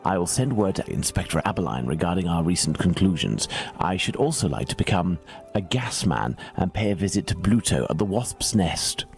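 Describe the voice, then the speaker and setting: Deep-voiced British detective, coolly precise. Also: male voice, big pitch swings, creaky, soft background music, full character voice. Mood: grave, resolute. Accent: British English. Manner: measured, formal, precise, didactic. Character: calm, analytical middle-aged male Victorian English detective. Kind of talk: dramatic video game dialogue.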